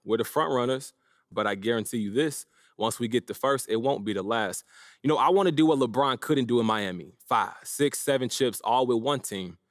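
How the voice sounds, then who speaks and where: A man's voice: mid-range voice, a little fast, dry recording, clean studio sound, natural voice. A young man playing a cocky rookie basketball star in a game's interview scenes, casual and self-assured. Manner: self-assured, laid-back. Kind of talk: casual press interview acting.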